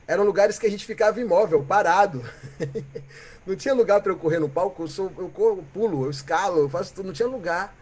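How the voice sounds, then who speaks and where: A Brazilian man from Bahia, a musician guest, telling stories in a reflective, animated way and often ending with "né?" or "sabe?". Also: high-pitched, fast talker. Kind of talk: reflective conversational storytelling.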